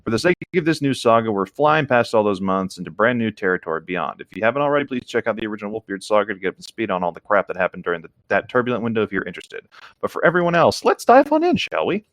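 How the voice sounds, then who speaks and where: A man's voice: lowish voice, big pitch swings, fast, a bit bright, no echo. A man reading a story aloud as a co-host, casual, with reflective, easygoing asides. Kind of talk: casual story narration with commentary.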